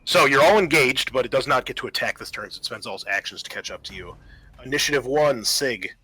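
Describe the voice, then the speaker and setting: A man's voice: medium pitch, animated, fast, sharp tone, husky, dry recording, poor audio. A man running a tabletop roleplaying game as game master, narrating and making rulings in a conversational, improvised way.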